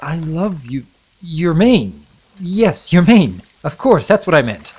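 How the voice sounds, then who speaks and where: A man's voice: a little high, very animated, slow pace, a little mellow, husky, voice pushed up, exaggerated voice. A man voicing an earnest, lovestruck young pony baron in a storybook reading, with an eager, awkward, blurting manner. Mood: flustered. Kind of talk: dramatic reading of a fan story.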